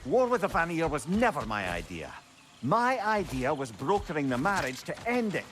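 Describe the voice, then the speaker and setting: An actor playing a witty, learned older advisor in a video game, articulate, wry and teasing. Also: male voice, a little high, big pitch swings, normal speed, fairly bright tone, slight background noise. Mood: musing. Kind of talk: dramatic video game acting.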